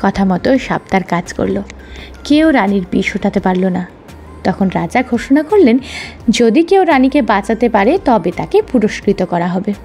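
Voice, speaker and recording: A woman's voice: mid-range voice, very animated, normal speed. A woman narrating a scripted children's story as a storyteller. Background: soft background music.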